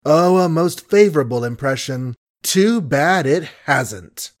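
A man's voice: fairly high pitch, animated, slow pace. A man voicing a young woman who mocks with sweet, suave sarcasm in an audiobook reading. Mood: sweetly mocking. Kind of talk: audiobook character voice.

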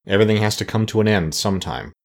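A man's voice: fairly low pitch, big pitch swings, quick pace, husky. A man voicing a plain-spoken, practical young boy in a children's storybook, blunt and colloquial. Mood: indignant.

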